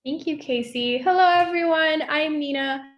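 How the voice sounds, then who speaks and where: A young woman from Belgium introducing herself as a student panelist on a video call, brisk and lively. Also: high voice, highs cut off. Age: young adult. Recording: echoey room.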